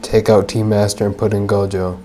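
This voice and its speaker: Woman gamer, extremely deep voice, informal slangy playthrough reactions, jokey, faint music behind. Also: flat delivery, a little fast, dark tone. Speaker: gamer reacting to a game while playing. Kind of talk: casual playthrough commentary. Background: game music.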